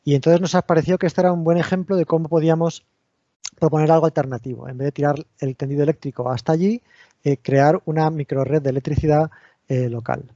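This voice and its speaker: A man's voice: fairly high pitch, steady tone, quick pace, mellow, no room sound, highs cut off. A man with an accent from Spain giving an online technical talk, explaining step by step and often restarting his sentences. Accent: Spain Spanish. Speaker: invited lecturer.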